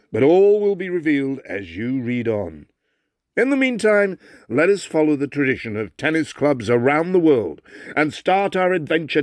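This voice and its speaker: A man from Bangladesh reading a humorous memoir aloud in an expressive, theatrical storyteller's manner. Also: medium pitch, dry recording, studio quality. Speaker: actor reading a book aloud.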